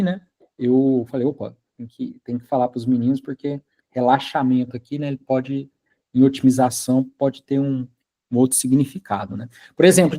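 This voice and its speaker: Husky-voiced chatty male lecturer. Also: mid-range voice, mellow. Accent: Brazilian Portuguese. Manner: chatty, asks students if they follow, many fillers. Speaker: university lecturer. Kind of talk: informal classroom lecture.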